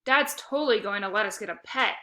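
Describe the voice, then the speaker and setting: A woman's voice: mid-range voice, fairly quick, bright, forceful tone, close to an everyday voice. Woman voicing a bossy, whiny young girl. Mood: competitive. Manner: insistent, squawking, whiny. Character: bossy, whiny, competitive young girl, a cat-loving sister.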